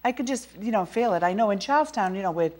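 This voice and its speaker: A chatty middle-aged woman with a Boston accent hosts a local interview show. She talks fast and restarts her sentences often, at a medium pitch for a woman. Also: animated, a little mellow. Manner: chatty, quick-talking, many restarts. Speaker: talk show host.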